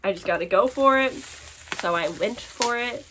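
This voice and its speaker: A woman chatting casually to her vlog audience in an expressive, giggly, exclaiming way, as a book vlogger showing her purchases. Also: mid-range voice, moderate pace. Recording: clear background noise.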